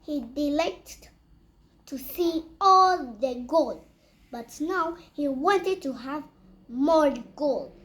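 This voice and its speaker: A reader telling a children's fairy tale aloud in a halting way, repeating and correcting words. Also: high-pitched, expressive, fairly bright tone. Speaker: storyteller reading aloud.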